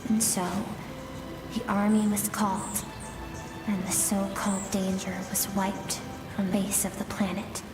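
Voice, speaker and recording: An actress playing a grave, sorrowful woman in a video game, recounting a tragic past in measured, somber tones. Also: medium pitch, little pitch movement, natural voice. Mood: grave, explanatory. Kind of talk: solemn dramatic storytelling. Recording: echoey long reverb with game music behind.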